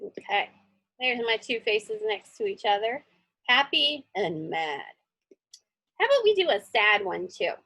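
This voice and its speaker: A woman's voice: medium pitch, big pitch swings, measured pace, bright, forceful tone, dry recording. A woman teacher casually talking children through a drawing step by step, thinking aloud and often checking in with little questions.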